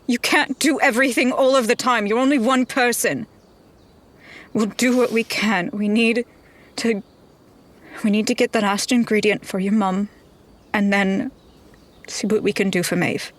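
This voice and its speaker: A woman's voice: fairly high pitch, fairly even intonation, normal speed, pauses often, lightly acted. A woman playing a blunt, loyal fantasy adventurer in a tabletop roleplaying game. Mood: frustrated. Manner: direct, firm.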